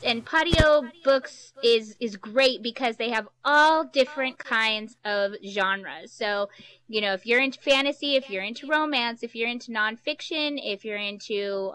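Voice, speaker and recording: Woman, panel moderator, smooth clear tone, fairly high pitch, informal presentation, chatty. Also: measured pace, fairly bright tone, rough recording.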